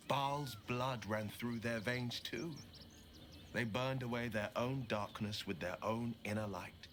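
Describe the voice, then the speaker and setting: Noble hero in a fantasy game, bright forceful voice, slow n earnest. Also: male voice, medium pitch. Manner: earnest, emphatic. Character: earnest heroic male adventurer, urging courage. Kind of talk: video game acting.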